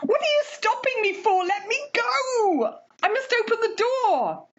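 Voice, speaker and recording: Very high-voiced, desperate older mother played by an actress. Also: big pitch swings, unhurried, a bit bright, full character voice. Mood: frantic, insistent. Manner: pleading, tearful, highly emotional. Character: distraught older mother, desperate, obsessively hopeful for her daughter. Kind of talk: radio play horror acting. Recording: slightly dull home recording.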